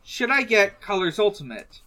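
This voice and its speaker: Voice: medium pitch, big pitch swings, normal speed, bright, forceful tone. A podcast host chatting casually and reading out listener questions, hesitant and often trailing off mid-thought.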